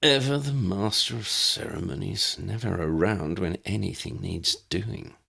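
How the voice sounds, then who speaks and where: A sardonic young upper-class wizard from a fantasy audiobook, voiced by a male narrator. He speaks low with a creaky edge, dry and faintly contemptuous. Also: a little slow, bright, forceful tone, heavily acted. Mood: weary contempt.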